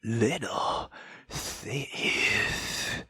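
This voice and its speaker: A woman voicing a sinister inhuman male stalker from a visual novel, taunting in a breathy whisper. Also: very deep voice, lively intonation, very slow, pushed and bright, dry recording, pitched below the performer's own voice, exaggerated voice.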